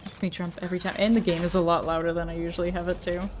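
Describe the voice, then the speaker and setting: A woman's voice: fairly low pitch, little pitch movement, very fast, background noise. A woman giving casual, chatty commentary while playing a horror game, reading notes aloud under her breath and startling easily. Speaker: let's play gamer.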